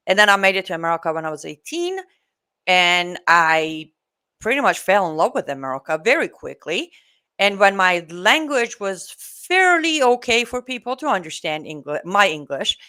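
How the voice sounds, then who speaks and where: A woman in her forties from Iran, a guest telling her life story in an outspoken, animated way. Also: on the low side. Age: 40s. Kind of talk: casual interview talk.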